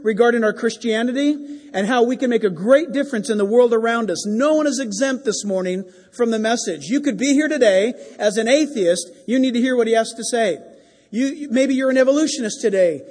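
A man warmly hypes up a guest speaker before a church service. His voice sits very high for a man, and he pauses on fillers. A long hall reverb trails every phrase. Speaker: church host introducing the speaker. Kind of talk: enthusiastic introduction. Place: church sanctuary.

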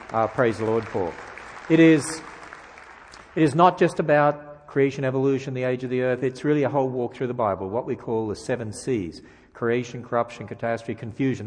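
A man with an Australian accent preaches as a guest speaker, mixing light jokes with emphatic rhetorical questions. His voice sits at a middle pitch. It carries through a church with a long, trailing reverb. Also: a little mellow. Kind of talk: sermon. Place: church sanctuary.

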